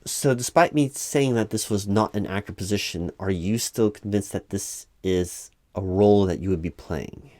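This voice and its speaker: A man playing a weary, politely insistent recruiting manager in a one-sided interview roleplay, over-explaining in a halting, repetitive way at normal speaking volume. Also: on the low side, warm tone, close to an everyday voice. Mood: exasperated. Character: exasperated male recruiting manager, polite but increasingly weary. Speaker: job interviewer.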